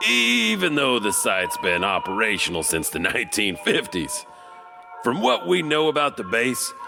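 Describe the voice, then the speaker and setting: A man narrating a horror podcast as a lively, chuckling storyteller with a gravelly voice. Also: fairly low pitch. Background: music.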